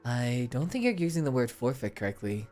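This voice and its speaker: A woman voicing a calm, matter-of-fact temple worker in a video game. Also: rumbling low, brisk, faint music behind, voice pushed down.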